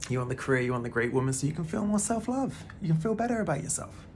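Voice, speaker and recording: A man's voice: a little high, sing-song, quick pace, slight background noise. A man in his thirties giving a casual, laid-back spiritual talk to the camera, often calling the listener "man" and swearing now and then. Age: 30s. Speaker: spiritual vlogger.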